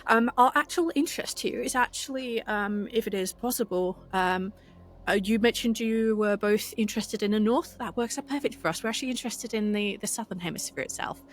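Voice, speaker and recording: A woman improvising an earnest, idealistic spokeswoman for an anti-capitalist group in a tabletop roleplay, speaking haltingly and deliberately. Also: mid-range voice, close to an everyday voice. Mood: earnest, diplomatic.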